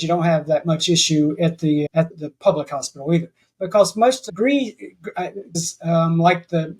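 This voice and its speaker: A man with a fairly high voice chats as a guest on a podcast. He rambles and restarts his sentences as his thoughts catch up. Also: low-quality recording. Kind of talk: casual interview chat.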